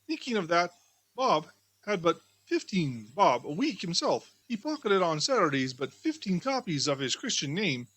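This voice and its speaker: A woman storybook reader giving scripted, expressive audiobook narration of a classic tale. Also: lowish voice, normal speed, dry recording, low-quality recording.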